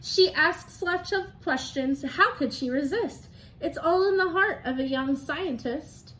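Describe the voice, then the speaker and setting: A woman reads aloud to young children as a teacher, in a sing-song, expressive way, pausing to prompt answers from her listeners. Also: high-pitched, a little hiss or hum, poor audio.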